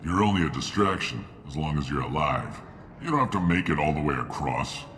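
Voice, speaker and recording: An actor playing a gruff, cynical male mercenary sergeant and mechanic in a video game, with a curt, dismissive manner. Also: rumbling low, lively intonation, fairly quick, a bit bright. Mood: matter-of-fact. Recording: clear background noise.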